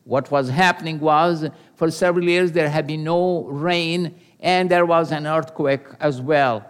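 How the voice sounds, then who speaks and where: Older man preaching slowly. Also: on the high side, fairly even intonation, clean tone. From Pakistan. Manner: earnest and measured, with pauses and hesitations. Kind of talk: sermon with personal testimony.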